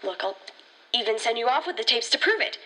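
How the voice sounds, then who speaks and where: A woman's voice: on the high side, lively intonation, brisk, pushed and bright. A woman playing an anxious, defensive teenage rookie ranger in an audio drama, insistent and sarcastic. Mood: insistent, pleading.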